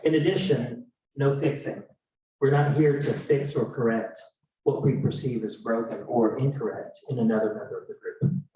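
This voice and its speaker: A man co-facilitating an event, reading prepared discussion guidelines in measured, short phrases. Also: medium pitch, steady tone, mellow. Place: indoor event room. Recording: very echoey room, distant mic.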